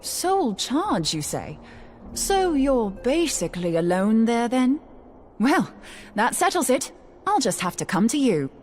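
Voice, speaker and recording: An actress playing a blunt, confident, teasing researcher in a fantasy game, with breezy, colloquial speech. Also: female voice, fairly high pitch, big pitch swings, a little slow, darkish tone, faint music behind. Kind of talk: scripted fantasy game dialogue.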